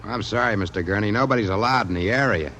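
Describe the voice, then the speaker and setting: A man's voice: lowish voice, fast, sharp tone. A man acting a blunt, streetwise security guard, plainspoken and clipped, in a 1950s radio drama. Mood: firm. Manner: plainspoken, matter-of-fact, clipped. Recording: vintage radio recording with faint noise.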